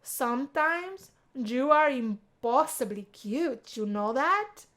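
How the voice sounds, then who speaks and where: A woman's voice: high voice, expressive, slow, fairly bright tone, exaggerated voice. Visual novel role: playful female prankster acted by a woman, confident flirt who teases. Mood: teasing, flirty. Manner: teasing and confident. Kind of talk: visual novel character voicing.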